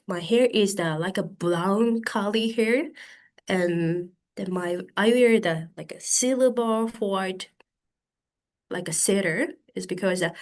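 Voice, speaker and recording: A Japanese woman in her thirties speaking English as a second language, giving a conference talk over a video call, careful and halting with many fillers, a little stuffy from hay fever. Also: medium pitch, darkish tone. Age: 30s.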